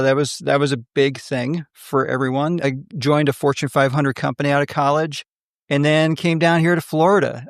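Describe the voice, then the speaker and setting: A man's voice: medium pitch, vocal fry, no room sound, studio quality. A man, a podcast guest and leadership coach, talking earnestly about his background in a casual interview, often repeating words as he starts a thought. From United States. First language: English was his second language. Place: office.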